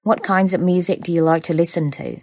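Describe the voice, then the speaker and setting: Female examiner, low warm voice, dry close sound, measured questions. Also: no echo. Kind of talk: reading interview questions.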